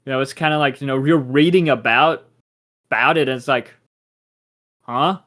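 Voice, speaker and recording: A man's voice: medium pitch, moderate pace, fairly bright tone. A young man co-hosting a casual podcast chat, rambling, trailing off and restarting his sentences. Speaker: podcast co-host.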